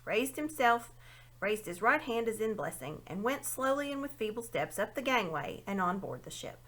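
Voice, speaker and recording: A woman giving an expressive read-aloud narration of a children's fantasy story. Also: medium pitch. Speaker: storybook reader.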